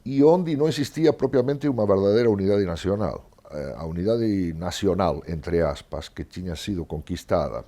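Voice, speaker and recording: Deep, mellow older Argentine man. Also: animated, moderate pace, hardly any pauses. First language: Spanish. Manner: discursive, often ending on a checking tag question. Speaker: guest historian and professor. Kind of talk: conversational interview, explaining history.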